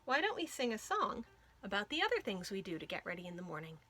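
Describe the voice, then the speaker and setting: A woman hosting a children's storytime, reading a picture book aloud with clear phrasing and emphasis for young listeners. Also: mid-range voice, very animated, fast, bright, forceful tone. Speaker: children's librarian, storytime host.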